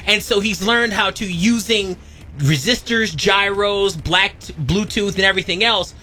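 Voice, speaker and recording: A man's voice: sits high, measured pace, pushed and bright. A man co-hosting a talk show, speaking casually with opinions, in an emphatic, slangy, explanatory way.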